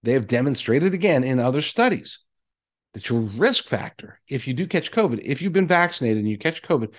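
A man hosting a commentary show, reading articles aloud and adding his own emphatic, deliberately repeated asides. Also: mid-range voice, animated, lo-fi sound. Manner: emphatic, deliberate repetition of key phrases.

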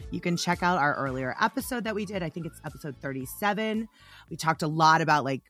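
A woman hosting a podcast with a scratchy, hoarse voice, gushing and rambling with frequent restarts. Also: low voice, fairly bright tone. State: hoarse, lost voice. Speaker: podcast host. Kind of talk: casual podcast monologue.

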